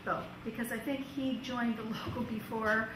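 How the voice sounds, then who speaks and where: A woman's voice: fairly high pitch, fairly even intonation, moderate pace, over a noisy crowd. A woman hosting an informal question-and-answer discussion. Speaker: meeting host. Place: echoey meeting room. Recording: echoey room, distant mic, noisy.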